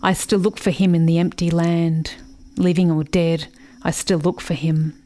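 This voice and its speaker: A haunted woman played by an actress tells her story in a slightly low voice. She is solemn and measured, letting pauses linger in a reflective radio-drama monologue full of old grief. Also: flat delivery, dark tone, slight background noise, close to an everyday voice. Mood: bitter, grieving. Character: haunted, grieving woman recalling a bitter rural childhood. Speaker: actor in a radio play.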